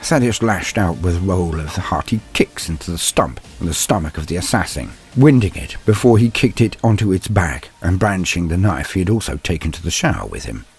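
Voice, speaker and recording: A man narrating a scripted story and guide in a theatrical, solemn storyteller's manner, with a husky voice. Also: deep voice, expressive, brisk, hardly any pauses, faint music behind. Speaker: narrator and channel host.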